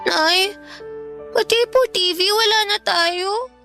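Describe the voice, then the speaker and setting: A woman's voice: very high-pitched, takes it slowly, gravelly, bright, forceful tone, full character voice. A woman playing an anxious, sweet young schoolgirl daughter in a radio drama, pleading in a tearful, childlike way. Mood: pleading, sad. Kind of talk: Tagalog radio drama acting. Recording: dry studio with music behind.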